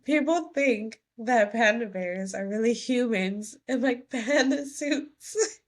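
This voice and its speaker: Woman podcast co-host, casual and giggly, curses a lot, voice jumps high when she laughs. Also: slow. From Dominican and Jamaican family roots. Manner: laughs often, swears, rising to squeals. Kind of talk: casual chat.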